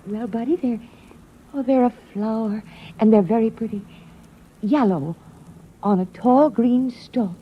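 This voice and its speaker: A woman playing a distraught, delusional mother in an old-time radio drama, halting and dreamy. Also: on the high side, slight background noise, close to an everyday voice. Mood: wistful, restless. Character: distraught, delusional mother longing for the lost sun. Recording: dull vintage radio broadcast.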